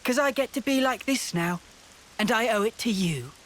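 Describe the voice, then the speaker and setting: Gloating young villain, high and theatrical. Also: male voice, lively intonation, a bit bright, poor audio. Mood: smug, playful. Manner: theatrical, sneering, drawn-out words. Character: gloating, mocking young male villain, turncoat former comrade. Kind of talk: video game cutscene acting.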